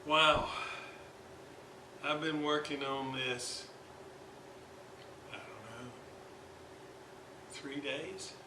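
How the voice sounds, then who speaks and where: Guy showing a repair at his bench, talks slow and stop-start, roomy noisy homemade recording. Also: medium pitch. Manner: halting, thinking aloud with long pauses. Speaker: hobbyist radio restorer presenting his repair. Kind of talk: informal hands-on repair demonstration. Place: indoors at a repair workbench.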